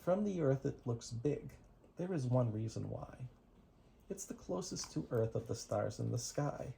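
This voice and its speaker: Bedtime storyteller, parent type, unhurried and gravelly, rhythmic rhymes, slightly noisy amateur home recording. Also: medium pitch, lively intonation, warm tone. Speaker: parent reading bedtime stories. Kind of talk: reading picture books aloud to a toddler.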